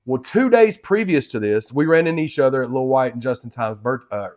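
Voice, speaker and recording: A man's voice: medium pitch, animated, brisk, a little mellow. A man hosting his interview show, talking in a chatty, enthusiastic way and tossing in "man" a lot.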